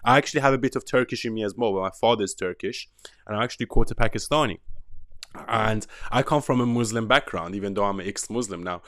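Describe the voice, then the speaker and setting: A man's voice: lowish voice, quick pace, a bit bright, no room sound. A man from Iran hosting a casual interview over a video call, talking with energy and emphasis. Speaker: host.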